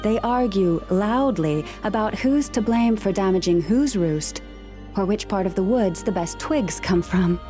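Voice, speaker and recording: A woman voicing a gentle young woman who hears animals, speaking softly and patiently with a slightly wry touch. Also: medium pitch, expressive, measured pace, music in the background, natural voice. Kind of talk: game character dialogue.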